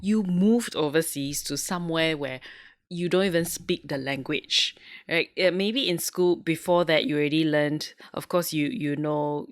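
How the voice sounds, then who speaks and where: A woman's voice: low-pitched, measured pace, no room sound, studio quality. A woman from Singapore with a Singaporean accent, hosting a casual interview. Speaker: podcast host.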